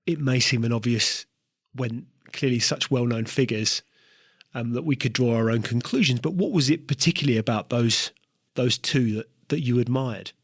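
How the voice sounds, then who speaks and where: A man's voice: medium pitch, normal speed, breathy edge, no echo, clean studio sound. A man hosting a podcast interview, asking questions with frequent fillers and restarts. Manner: hesitant, many filler words and restarts. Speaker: podcast host.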